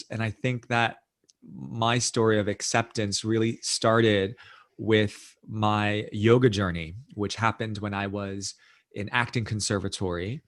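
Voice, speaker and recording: Low-voiced man telling his story. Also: fairly bright tone. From United States. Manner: thoughtful, measured, frequent "you know" and "right?". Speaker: guest author. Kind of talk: reflective storytelling in interview.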